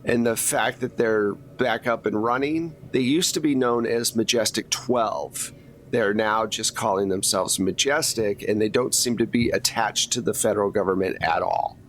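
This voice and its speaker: A man's voice: lowish voice, moderate pace. Man running a tabletop game, some background noise. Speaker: game master. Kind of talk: narrating a tabletop role-playing game.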